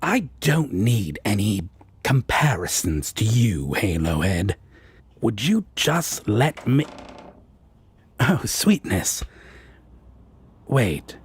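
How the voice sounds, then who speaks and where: Man acting a low, husky, sardonic demon. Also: big pitch swings, exaggerated voice. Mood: exasperated, then pleading. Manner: slow, dry drawl with pet names. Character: sardonic male demon roommate, exasperated yet fond. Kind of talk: audio roleplay acting.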